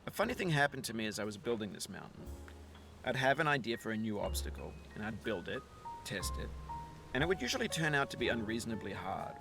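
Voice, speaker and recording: Calm, reflective male narrator over music. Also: lowish voice. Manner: calm, thoughtful, measured. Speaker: game narrator.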